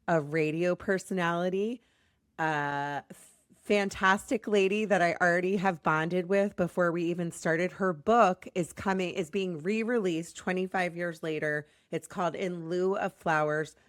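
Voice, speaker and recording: Low, slightly raspy American woman podcaster. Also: dry recording, studio quality. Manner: chatty, self-deprecating, rambling. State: recovering from lost voice. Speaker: podcast host. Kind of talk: casual podcast hosting.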